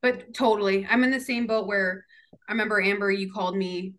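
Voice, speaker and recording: A woman's voice: medium pitch, fairly quick, a bit bright. A young woman talking casually as a podcast guest, chatty and emotional, with many fillers. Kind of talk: casual chat. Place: San Diego.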